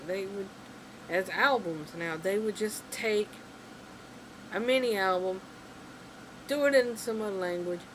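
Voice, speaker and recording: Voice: medium pitch, background noise. A vlogger ranting casually to camera, rambling and exasperated, with lots of "you know" and "like" fillers. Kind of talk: casual opinion rant to camera.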